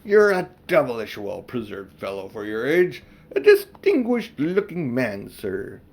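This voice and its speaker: Man playing a pompous, vain old gentleman, swooping pitch. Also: wildly swooping pitch, measured pace, gravelly, heavily acted. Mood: smug, self-admiring. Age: older. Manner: pompous, old-fashioned, self-admiring.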